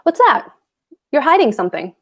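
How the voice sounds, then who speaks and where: A woman plays a smug landlord with a roving eye in a stage comedy read-through. The voice sits fairly high, and the delivery is blunt, coaxing and full of suggestion. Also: very animated, moderate pace. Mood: teasing, brazen. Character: brazen, philandering married landlord, a smug man. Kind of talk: play table read.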